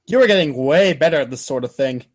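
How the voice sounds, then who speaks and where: A man's voice: a little high, animated, quick pace. A man narrating a comedic readers' theater piece in a mock-serious, theatrical way.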